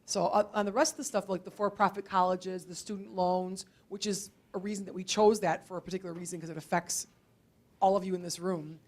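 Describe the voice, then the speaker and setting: A woman's voice: medium pitch, fairly even intonation, brisk. A woman instructor talking informally with students, in a conversational, hesitant way with repeated words. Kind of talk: informal question-and-answer with students. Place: indoor college library event before an audience.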